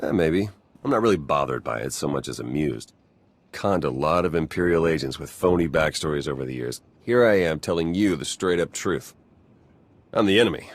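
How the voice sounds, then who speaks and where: Sardonic rogue agent, game cutscene. Low-pitched, conversational, casual, wry. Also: male voice, lively intonation, a little fast, no echo, heavily acted. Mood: wry, relaxed. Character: wry, roguish male Republic spy. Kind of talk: conversational video game acting.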